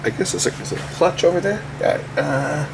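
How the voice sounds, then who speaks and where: A man's voice: a little high, brisk, creaky, noisy background. A man giving casual, unscripted repair commentary, thinking aloud as he works with his hands. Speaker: electronics repair video host.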